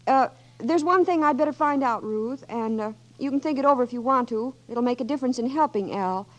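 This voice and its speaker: An actress playing a gentle, earnest Red Cross worker, speaking with some hesitation, in 1940s radio acting. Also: female voice, high voice, fairly quick, highs cut off, lightly acted.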